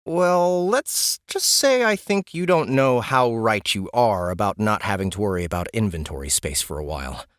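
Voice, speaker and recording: A man's voice: fairly low pitch, big pitch swings, normal speed, hardly any pauses, a bit bright, no echo, clean studio sound. A man voicing a curious young man asking questions in an audiobook. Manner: casual, slightly unsure questions. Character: young man new to a game world, curious. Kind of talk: audiobook character voice.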